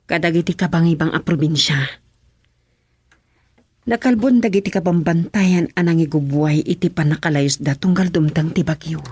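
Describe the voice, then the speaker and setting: An actress in an Ilocano radio drama playing the governor's concerned mother, who speaks softly and asks questions. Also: low-pitched, normal speed, slightly rough, dry recording. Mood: worried.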